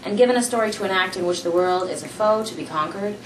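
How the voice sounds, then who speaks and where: A young woman reading a novel aloud in a small room, in a plain, steady reading voice, recorded on a camera from across the room. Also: medium pitch, fast. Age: young adult. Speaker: amateur reader. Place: small room indoors, seated on a couch. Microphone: camera mic from across the room. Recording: roomy, distant camera audio with faint hiss.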